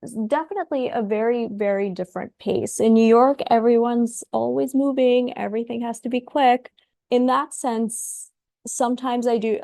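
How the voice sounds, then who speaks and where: A woman's voice: on the high side, vocal fry, warm tone, no echo, studio quality. A woman from Ukraine, a guest psychologist in a podcast interview, answering thoughtfully and often repeating words as she starts her sentences.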